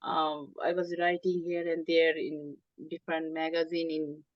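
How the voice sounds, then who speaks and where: A woman from Bangladesh, a native Bengali speaker, talking as a guest in an interview, hesitant with many pauses and fillers. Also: sits low, level tone, no room sound, muffled recording. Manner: hesitant, many ums, halting phrasing. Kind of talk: interview conversation.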